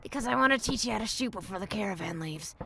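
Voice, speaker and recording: An actress plays a battle-hardened woman of the wasteland with heavy gravel in her voice. She is blunt, sardonic and quick to anger, giving orders like a tough mentor in a post-apocalyptic audio drama. There is a little noise behind her. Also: medium pitch, expressive, bright, forceful tone. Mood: gruff, dry.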